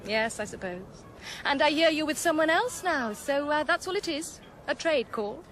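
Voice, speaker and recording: An actress playing a coy, knowing and businesslike underworld gun dealer in a radio thriller. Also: female voice, sits high, lively intonation, normal speed, fairly bright tone. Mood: coy, flirtatious. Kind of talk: 1990s radio thriller acting. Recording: noisy outdoor crowd ambience. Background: dog track crowd.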